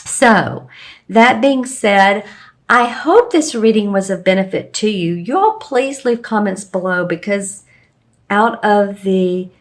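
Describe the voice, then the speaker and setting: A woman with a slightly hoarse voice talks viewers through a tarot spread. She rambles gently, circling back with frequent ums and restarts. Her pitch sits in an ordinary middle range. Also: slow pace. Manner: meandering, with frequent fillers and restarts. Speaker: tarot reader. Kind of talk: casual spoken tarot reading to camera.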